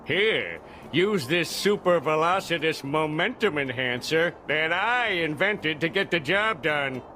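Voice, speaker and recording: Cartoon game villain: a tiny conceited schemer, high, forceful and grandiose. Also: male voice, lively intonation, unhurried, soft background music. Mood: grudging, proud. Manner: pompous, grandiose. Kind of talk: animated cartoon game voice acting.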